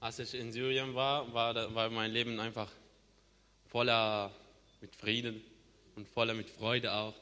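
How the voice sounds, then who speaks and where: A man interpreting a testimony, halting and full of hesitations and restarts. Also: mid-range voice, flat delivery, pushed and bright, clean tone. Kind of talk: consecutive interpreting. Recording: long church reverb.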